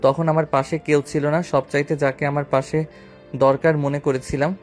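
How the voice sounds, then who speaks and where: A man's voice: a little high, flat delivery, normal speed, soft background music, lightly acted. A man acting a bitter young self-made businessman, a wounded lover, in a romantic audio story. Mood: bitter, hurt.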